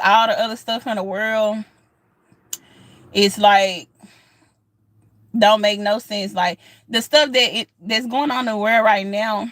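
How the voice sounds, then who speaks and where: A woman's voice: medium pitch, slight background noise. A woman in her thirties chatting casually outdoors, earnest and encouraging, repeating herself with lots of fillers. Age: 30s. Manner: earnest, repetitive, encouraging, colloquial with many fillers. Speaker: vlogger. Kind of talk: casual outdoor vlog chat. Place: outdoors in sunlight.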